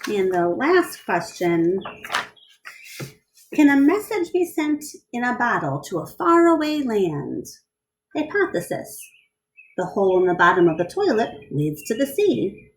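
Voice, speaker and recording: A woman reading a picture book aloud to children in an expressive style, pausing to question and explain things to her young listeners. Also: medium pitch, a little hiss or hum, rough recording. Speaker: read-aloud storyteller.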